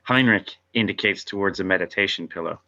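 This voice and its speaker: Male narrator for a table read: lowish, forceful bright voice, stage directions, slightly muffled sound. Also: brisk.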